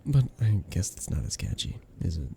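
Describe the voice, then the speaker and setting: A young man acting a flustered best friend with a hidden crush in an intimate ASMR romance roleplay, soft-spoken, casual and hesitant. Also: sits low, wildly swooping pitch, moderate pace, creaky, slight background noise, exaggerated voice. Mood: awkward, evasive.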